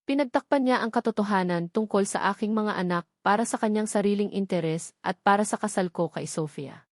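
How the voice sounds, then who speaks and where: A woman's voice: mid-range voice, a little fast, no room sound, clean studio sound, natural voice. A woman voicing a protective, defiant grown son in a love story, firm and earnest. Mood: resolute.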